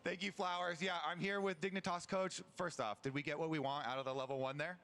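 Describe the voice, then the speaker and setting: A man interviewing a coach for a broadcast, asking brisk, direct questions. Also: a little high, level tone, a bit bright, clean tone. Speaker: sideline interviewer.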